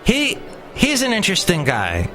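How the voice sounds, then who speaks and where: A man's voice: sits high, huge pitch swings, moderate pace, over music. Rambling chatty man hosting a podcast. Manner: rambling, chatty, many fillers and restarts. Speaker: podcast host. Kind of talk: casual solo podcast talk.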